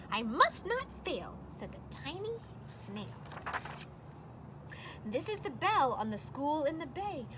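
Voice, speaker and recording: A woman's voice: very high voice, noisy background. A woman reading a children's storybook aloud expressively, with dramatic emphasis on the rhymes and casual asides. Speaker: storybook reader.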